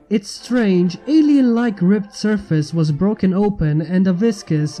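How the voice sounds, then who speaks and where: Very mellow, high-pitched male narrator. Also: rough recording. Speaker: narrator, fan fiction reader. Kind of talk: scripted story narration. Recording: music bed behind the narration.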